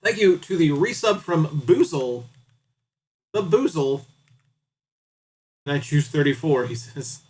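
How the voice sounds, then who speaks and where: Playful male streamer, slightly high voice. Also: expressive, slow, long pauses, a little mellow. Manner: playful, chatty, addresses viewers. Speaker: live streamer. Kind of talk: casual game stream commentary.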